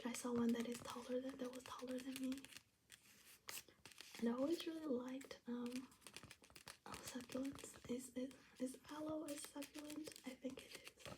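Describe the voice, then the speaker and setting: A young woman from China, whispering softly as she flips through her travel journal, with many ums and restarts. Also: sits high, noisy background. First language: Chinese. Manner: soft whisper with fillers and restarts.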